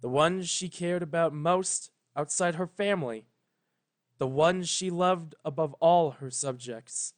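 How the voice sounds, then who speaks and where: A man's voice: a little high, unhurried. A man reading fan fiction as narrator, in a solemn, emotive, dramatic storybook style.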